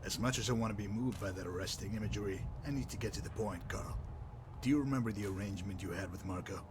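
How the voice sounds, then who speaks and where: A man's voice: on the low side, quick pace, background noise, lightly acted. A man voicing a sardonic, menacing enforcer in a video game, wry, wordy and mockingly polite. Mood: cold, mocking menace. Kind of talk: scripted video game dialogue.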